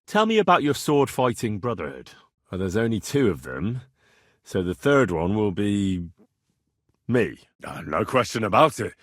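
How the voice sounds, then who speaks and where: A man's voice: on the low side, very animated, normal speed, a bit bright, dry recording, studio quality. A male actor playing a cheeky, blunt young swordsman who haggles cockily in a medieval video game. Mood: matter-of-fact. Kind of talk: video game character dialogue.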